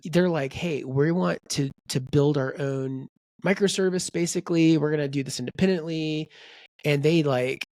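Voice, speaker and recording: A man's voice: a little high, normal speed, no echo. A man co-hosting a book podcast, rambling in casual chat and quoting people in voices. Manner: rambling, animated, quotes people in character voices. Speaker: podcast co-host.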